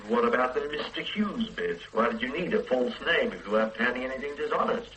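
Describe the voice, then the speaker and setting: A man's voice: medium pitch, animated, fairly quick, a bit bright, a little hiss or hum, close to an everyday voice, rough recording. An actor playing a methodical male police detective in a radio play, asking formal, politely probing questions. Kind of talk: 1970s-80s radio play acting.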